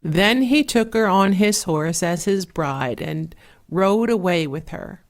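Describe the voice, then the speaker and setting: Woman, lowish pitch, unhurried soft fairy-tale narration, relaxing, measured, 8D panning effect. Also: lively intonation. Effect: 8D surround panning. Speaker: storyteller.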